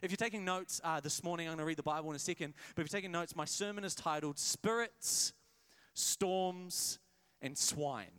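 A young male pastor preaching a sermon in a casual, energetic way, with many restarts and jokey asides. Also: a little high, moderate pace, smooth. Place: church service in a hired venue.

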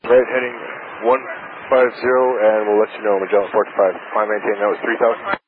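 A man's voice: on the low side, little pitch movement, fast. A man speaking as an airline pilot, giving clipped readbacks over a cockpit radio. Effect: cockpit aviation radio. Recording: tinny cockpit radio, clear static noise.

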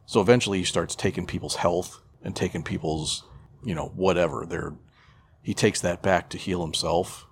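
A man's voice: low voice, normal speed, a little hiss or hum. A man chatting casually as a podcast co-host, retelling a story in a profane, irreverent, rambling way. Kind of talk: casual chat, retelling a film plot.

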